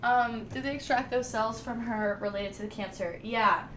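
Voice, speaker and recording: A woman's voice: a little high, steady tone, moderate pace, a crowd in the background. A young woman vlogger chatting casually and rambling, with lots of "like" and informal slang. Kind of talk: casual chat. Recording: echoey room, mic at a distance, faint noise.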